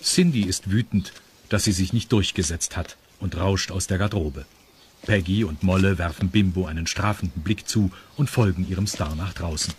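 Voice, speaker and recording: A man's voice: low voice, moderate pace, darkish tone, a little hiss or hum. A man with a standard German accent narrating a scripted children's audio story.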